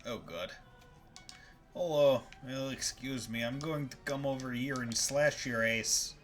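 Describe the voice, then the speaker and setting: A man's voice: mid-range voice, measured pace, fairly bright tone. A man streaming a video game with casual, playful commentary, profane and full of jokey asides. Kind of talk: casual live gameplay commentary. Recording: faint game music behind.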